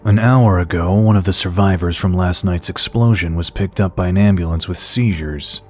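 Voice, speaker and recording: An actor playing a grave, authoritative FBI agent in an audio drama. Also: male voice, low voice, moderate pace, darkish tone, soft background music. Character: firm, official male FBI agent.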